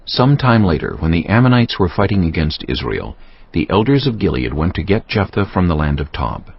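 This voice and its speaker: A man narrates scripture in a deep, gravelly voice with dramatic rises and falls. The recording is slightly dull, with faint music underneath. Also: big pitch swings, brisk, dry recording.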